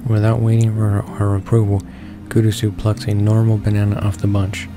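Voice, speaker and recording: A low-voiced man reads a visual novel aloud in a very monotone way. He halts and stumbles over words while game music plays behind him. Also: brisk. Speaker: gamer reading along.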